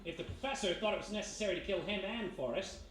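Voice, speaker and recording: A man acts an intense, hot-headed detective in an old-time radio mystery. His voice is high, bright and forceful, ringing in an echoey room with faint noise. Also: quick pace. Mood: resolved. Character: anxious, hot-headed male private detective, former thief. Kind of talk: 1940s radio mystery acting, live on stage.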